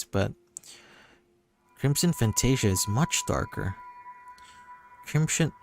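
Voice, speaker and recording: A man reading a visual novel aloud as narration on a livestream, sometimes stumbling and rereading. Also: on the low side. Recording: music behind the voice. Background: music.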